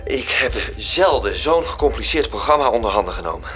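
Angel functionary for radio play: medium pitch, bureaucratic, courteous, exact, light music bed. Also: male voice, animated, brisk, fairly bright tone, husky. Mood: weary, explanatory. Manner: deferential, precise, formal. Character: dutiful male angel, bureaucratic agent reporting upward. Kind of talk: radio drama acting. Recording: music behind the voice.

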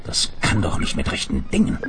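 Ein Schauspieler spricht in einem Science-Fiction-Hörspiel einen entschlossenen Raumschiffkommandanten, dramatisch und mit Autorität. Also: male voice, fairly quick, background noise, close to an everyday voice. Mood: astonished, wary. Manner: dramatic, authoritative, clipped statements. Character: resolute male space commander and imperial administrator. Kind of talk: 1970s German science fiction radio drama acting.

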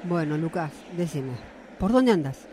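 A woman's voice: low voice, lively intonation, a little slow, background noise. A woman with an Argentine accent playing a concerned, practical confidante in a radio drama, questioning and cautioning. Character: concerned, practical female confidante of a bereaved son. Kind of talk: Spanish-language radio drama acting.

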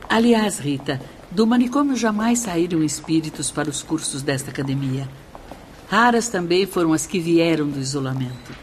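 A woman's voice: low-pitched, expressive, moderate pace. An actress playing a matter-of-fact female spirit instructor in a radio drama, composed and informative. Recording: faint ambient noise behind.

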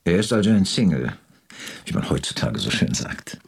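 A pompous corporate director. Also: male voice, deep voice, sing-song, normal speed, gravelly, husky, heavily acted. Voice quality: formal, lofty phrasing. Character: pompous, moralizing male company director. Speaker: company director. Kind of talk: radio drama acting.

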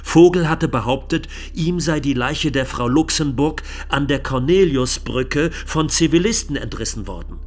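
An actor playing an indignant, sardonic lawyer in a radio play, addressing a court in with rhetorical emphasis and pointed pauses. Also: male voice, a little high, lively intonation, faint music behind. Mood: indignant, pressing.

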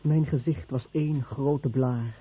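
A man's voice: mid-range voice, steady tone, a little slow, breathy edge. An actor playing an exhausted, agitated fisherman in pain and desperation in a radio play. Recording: muffled, noisy old radio play recording.

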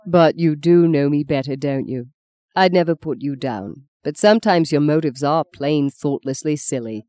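A woman reading a story, voicing a gentle, devout young man who speaks softly, sadly and steadily. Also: sits low, normal speed, dry recording, natural voice. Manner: soft, sad, steady.

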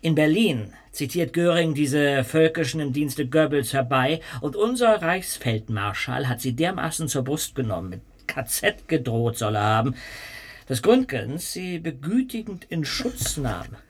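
An actor playing a cultivated, eloquent society gentleman in a radio play, telling anecdotes with literary relish. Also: fairly high pitch, expressive, a little slow, slightly rough. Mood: amused, storytelling.

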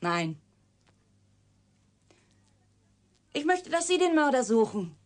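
An actress playing an embittered, secretive woman scientist in a German radio drama, deliberate and intense in delivery. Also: high-pitched, sing-song. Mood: guarded, resolute. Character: embittered woman scientist, secretive product of her father's experiment.

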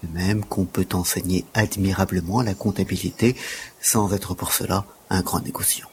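Man playing a creaky, low-voiced humble gymnastics teacher. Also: fairly even intonation, normal speed, slight background noise. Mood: earnest, deferential. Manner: deferential, wordy, formal storytelling. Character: humble, earnest, frail gymnastics teacher, deferential to a baron. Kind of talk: audio drama acting.

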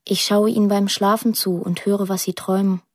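A young woman, voiced by an actress tells her story introspectively in a radio play. Her voice is a little husky and very close and dry. She speaks plainly and thoughtfully, in a measured way. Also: medium pitch, flat delivery, no room sound, lightly acted. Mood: reflective, confiding. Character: pensive young woman narrating her estranged family. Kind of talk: introspective radio drama narration.

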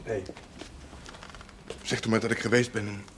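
An actor playing a polite, shy young farmhand turned soldier, speaking quietly and hesitantly in a television period drama. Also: male voice, lowish voice, level tone, normal speed, slight background noise, natural voice. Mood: subdued, polite.

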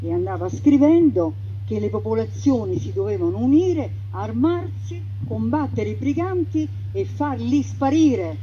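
A woman narrating a stage piece in a measured, ironic storytelling manner. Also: on the high side, expressive. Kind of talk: scripted theatrical narration. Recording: dull radio broadcast with faint noise.

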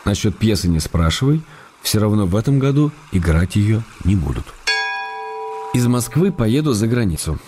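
A man's voice: on the low side, big pitch swings, normal speed. A man playing a stubborn but affectionate playwright in a radio play, reading his letters in a restrained, matter-of-fact way. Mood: dry, firm. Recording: faint noise and ambience behind.

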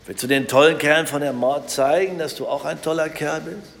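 A hearty, needling veteran cop. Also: male voice, a little high, brisk, vocal fry, a bit bright. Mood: teasing, needling. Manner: jovial, needling. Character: hearty, cynical war-veteran policeman, chummy superior. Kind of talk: naturalistic radio drama acting.

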